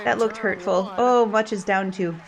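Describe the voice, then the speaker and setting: A woman giving casual, playful sports commentary on a game, expressive and quick to act out what players might be thinking. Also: medium pitch, gravelly. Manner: playful, expressive, voices imagined thoughts of players. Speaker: roller derby commentator and former player. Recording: crowd noise behind the voice. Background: roller derby game crowd.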